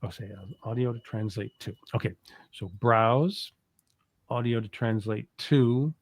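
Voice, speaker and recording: A man's voice: mid-range voice, a little slow, no room sound. Male tutorial co-host, casual, tentative step-by-step talk, close dry sound. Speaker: co-host demonstrating software. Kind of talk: casual live tutorial walkthrough.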